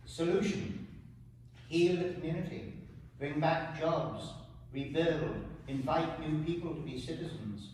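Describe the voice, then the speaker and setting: A man in his seventies from Wales, reading his own poems in a measured, deliberate way, with quiet asides to a small audience. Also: on the high side. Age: 70s. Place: gallery room. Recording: echoey hall, distant mic, background noise.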